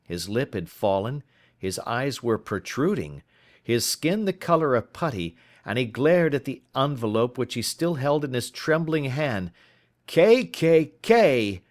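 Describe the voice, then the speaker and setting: A man reading a first-person literary story as an audiobook narrator, in a measured, formal storytelling manner. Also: medium pitch, expressive.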